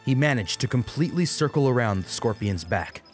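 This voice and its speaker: A man's voice: mid-range voice, expressive, a little fast. A man reading a dramatic action story as an audiobook narrator. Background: music under the voice.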